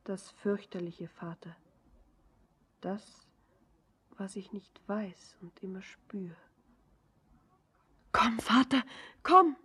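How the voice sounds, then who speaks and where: An actress playing an anxious, lovesick young daughter in a theatrical German radio drama, breathy and often whispering, with fervent, halting, imploring delivery. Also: female voice, mid-range voice, expressive, background noise. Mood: haunted, brooding.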